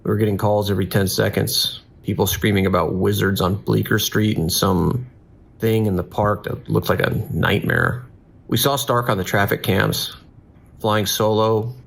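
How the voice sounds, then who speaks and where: A low, gravelly man's voice, an actor playing a weary police dispatcher. He is calm, measured and instructive, in an audio drama style. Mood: weary, reflective. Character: steady, weary male police emergency dispatcher. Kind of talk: audio drama acting with monologue.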